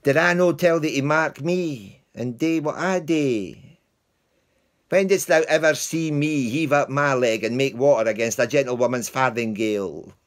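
Man performing comic Scottish servant, low voice, lively swoops, slow, mock indignant. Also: heavily acted. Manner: broad dialect storytelling, mock indignant, laughing. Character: comic lowly male servant with his dog. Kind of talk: theatrical monologue.

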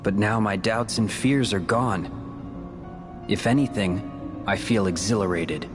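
A man playing a brooding, resolute young warrior in a video game cutscene, calm, measured and earnest. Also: lowish voice, music in the background. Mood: confident.

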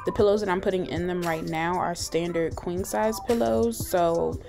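Chatty young woman, lowish voice. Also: moderate pace. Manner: chatty, relaxed, lots of likes and ums. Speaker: vlogger. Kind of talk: casual voice-over chat. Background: clear background music.

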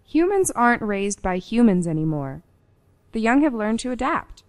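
An actress playing a cool, defiant daughter with attitude in radio play dialogue. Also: female voice, a little high, very animated, moderate pace.

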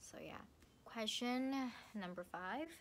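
A young woman from Thailand with a Thai accent, chatting playfully to the camera from her sofa about books, with lively hand gestures. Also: on the high side, takes it slowly, a little hiss or hum. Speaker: book vlogger. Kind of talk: casual vlog to camera. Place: living room, seated on a sofa. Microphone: camera in front.

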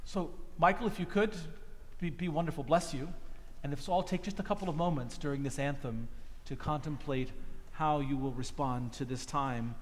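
A man's voice: fairly high pitch, moderate pace. A middle-aged man preaching from a cathedral pulpit in an earnest, emphatic way. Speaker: priest. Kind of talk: sermon. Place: large cathedral, speaking from a pulpit. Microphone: pulpit microphone. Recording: long reverb of a large cathedral.

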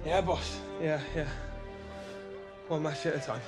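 An actor playing a cheeky, excitable young footballer in game cutscenes, chatty and matey. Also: male voice, fairly high pitch, measured pace, loud music behind the voice, lightly acted. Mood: sheepish. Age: young adult. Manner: chatty, laughing, matey slang. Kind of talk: acted game cutscene dialogue.

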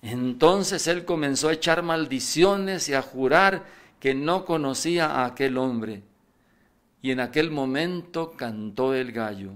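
An older priest reads scripture at Mass, speaking at a middle pitch into a stand microphone in a church. He reads steadily, then raises his pitch and volume whenever a character speaks. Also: a bit bright. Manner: reads aloud, raising pitch and volume for quoted voices. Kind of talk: reading the Passion at Mass. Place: church, indoors at a lectern. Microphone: stand mic in front.

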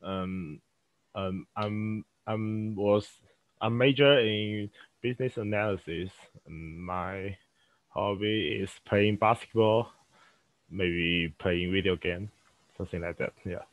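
Low, slow student from China. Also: male voice, no room sound. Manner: halting, repeats himself. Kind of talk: self-introduction. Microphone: video call. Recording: dull dry video-call audio.

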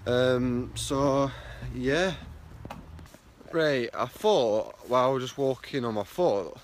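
A man's voice: medium pitch, slow, slight background noise. Stuffy-nosed young man rambling into a phone. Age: young adult. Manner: rambling, repeats himself with lots of fillers. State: blocked nose, sounds stuffy. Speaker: vlogger. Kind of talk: casual selfie vlog. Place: outdoors on a street walking to work. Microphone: phone at arm's length.

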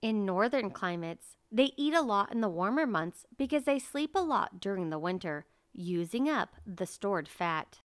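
A woman reading a children's nature picture book aloud as narrator, in a measured, carefully enunciated way. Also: medium pitch, animated, smooth, no room sound, studio quality.

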